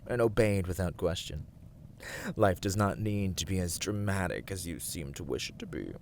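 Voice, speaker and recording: A man playing an arrogant, teasing villain warlord who craves control, in a theatrical ASMR roleplay, with breathy, mocking, drawn-out delivery. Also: low-pitched, animated, a little hiss or hum. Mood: commanding, imperious. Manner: haughty, languid, mocking, drawn-out words.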